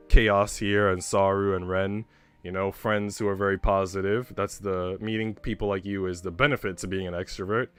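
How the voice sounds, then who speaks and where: A man's voice: low voice, a bit bright, smooth. A man hosting a livestream, talking casually and candidly to his viewers in a chatty way with some casual swearing. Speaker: livestream host. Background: background music.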